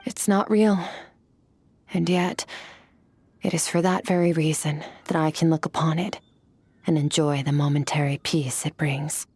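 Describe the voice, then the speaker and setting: An actress playing a cool, ageless woman warrior, calm, detached and philosophical. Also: a little slow, pauses often, no echo, full character voice. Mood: contemplative. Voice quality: husky, low and composed. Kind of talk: video game voice acting.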